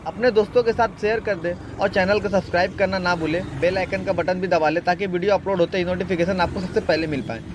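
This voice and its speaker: A man's voice: high-pitched, darkish tone, slight background noise. A man hosting a casual moto vlog, chatting step by step through a setup with an earphone mic tucked in his helmet. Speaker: moto vlogger. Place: riding a motorcycle, then at a shop table. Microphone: earphone mic tucked inside a helmet.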